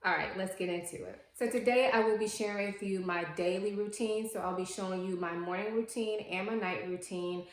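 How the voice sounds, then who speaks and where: A woman in her late thirties chatting casually to the camera as a beauty vlogger, explaining in a relaxed way with frequent ums and likes. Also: medium pitch, a little fast, hardly any pauses. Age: 30s. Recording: echoey room.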